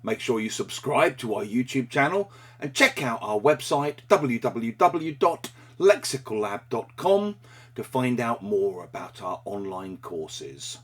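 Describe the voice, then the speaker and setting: A man with a husky, slightly breathy voice at a middle pitch explains an English phrase step by step. He keeps a measured, scripted teaching delivery throughout. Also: animated, fairly bright tone.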